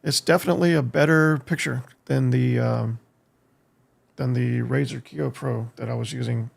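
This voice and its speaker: An American man streaming a game, giving casual live commentary in a halting way as he thinks aloud, with a gravelly, husky voice. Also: medium pitch, fairly even intonation. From United States. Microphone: streaming desk microphone.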